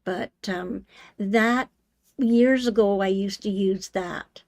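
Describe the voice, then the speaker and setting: Slow, unhurried older woman, dry sound. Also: mid-range voice, darkish tone, no room sound. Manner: unhurried, homey, many ums while she works. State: slightly hoarse. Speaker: sewing channel host. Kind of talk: live sewing demonstration with viewer chat. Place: home sewing room.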